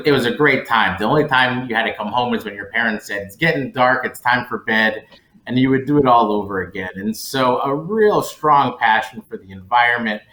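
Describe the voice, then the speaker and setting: Male panelist, mid-pitched, conversational delivery, echoey room sound. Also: sharp tone. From Colombia-born, raised in West Virginia, United States.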